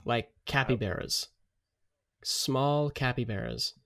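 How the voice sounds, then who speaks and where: A man's voice: medium pitch, animated, takes it slowly, creaky, fairly bright tone. A young man co-hosting a podcast, chatting casually and debating playfully. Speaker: podcast co-host.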